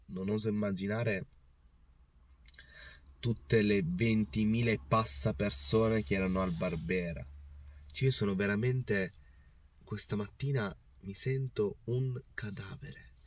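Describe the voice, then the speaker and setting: Hoarse man rambling in a parked car. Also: on the low side, a little mellow, dry recording, distant mic. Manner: passionate, rambling with restarts and repetitions. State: hoarse, voice worn out. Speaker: football fan vlogger. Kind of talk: casual match recap to camera. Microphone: phone or camera on the dashboard.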